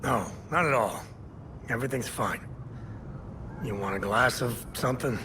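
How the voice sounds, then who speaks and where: An actor playing a slippery, nervous crime boss in a video game, ingratiating and wheedling with false geniality. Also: male voice, mid-range voice, normal speed, distant mic, background noise, close to an everyday voice. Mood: nervously genial. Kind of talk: video game cutscene acting.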